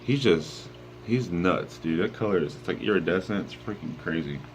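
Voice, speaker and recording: A man's voice: deep voice, moderate pace, slight background noise. A young man with a sleepy voice, rambling casually with lots of ums as he shows his fish tanks at home, filming on a handheld phone. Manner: relaxed, rambling with many ums. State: sleepy, just woke up. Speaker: aquarium vlogger. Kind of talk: casual walkthrough vlog. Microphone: handheld phone camera.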